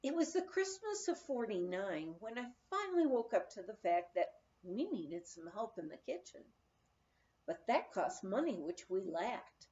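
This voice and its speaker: A woman's voice: medium pitch, very animated, unhurried, darkish tone, dull audio. A woman reading a memoir aloud as scripted, storytelling narration. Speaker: audiobook reader.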